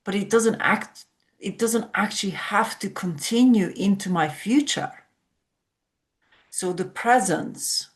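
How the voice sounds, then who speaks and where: A woman's voice: medium pitch, lively intonation, slow pace. A woman from Hungary, a sleep coach explaining ideas reflectively as a podcast guest. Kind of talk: podcast conversation.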